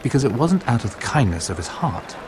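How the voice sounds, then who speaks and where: An actor playing a smooth, calculating noble merchant in a game, with a silky, courtly, insinuating manner. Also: male voice, medium pitch, very animated, brisk, noisy background, close to an everyday voice. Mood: sly, persuasive. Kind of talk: dramatic game cutscene acting.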